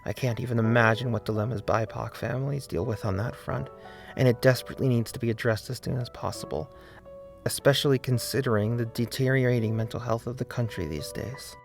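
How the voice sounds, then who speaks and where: A man narrating a scripted video essay in an earnest, deliberate way, with emphasis on key words. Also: medium pitch, vocal fry. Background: faint background music.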